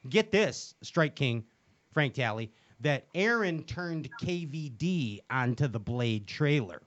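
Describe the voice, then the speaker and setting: A man hosting a casual video-call chat, excitable, stammering and laughing often. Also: mid-range voice, takes it slowly, muffled recording. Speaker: show host.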